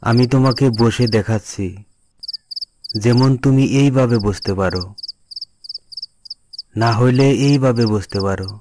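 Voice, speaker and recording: Man acting as pious cartoon father, patient, instructive, medium pitch, noisy recording with clicks. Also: measured pace, long pauses, lightly acted. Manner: instructive, admonishing. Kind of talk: Islamic moral cartoon dialogue.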